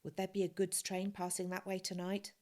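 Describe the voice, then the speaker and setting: A woman's voice: medium pitch, monotone, fairly quick, mellow. A woman narrating a children's novel as a scripted reading.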